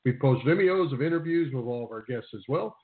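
A man's voice: mid-range voice, lively intonation, fairly quick. A man hosting a sports radio show in a conversational style. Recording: narrow phone-like sound.

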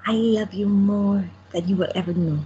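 A woman's voice: mid-range voice, fairly even intonation, moderate pace, warm tone, lo-fi sound, slight background noise, lightly acted. A woman playing an elderly woman who addresses the press and then proposes to her wife. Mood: tender. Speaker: cast member in an audio drama. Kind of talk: amateur audio drama acting.

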